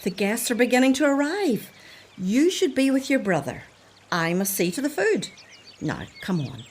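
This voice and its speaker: A woman's voice: fairly high pitch, very animated, normal speed, slight background noise. An actress playing a bustling, bossy but affectionate older woman servant in a radio drama. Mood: fussing.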